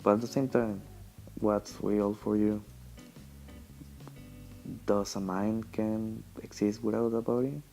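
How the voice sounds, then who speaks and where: Young adult male, gravelly and dark-toned, meandering casual audio-log delivery, faint guitar underneath. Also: lowish voice, level tone, takes it slowly, pauses often, poor audio. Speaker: vlogger. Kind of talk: casual rambling audio diary. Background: quiet plucked guitar music.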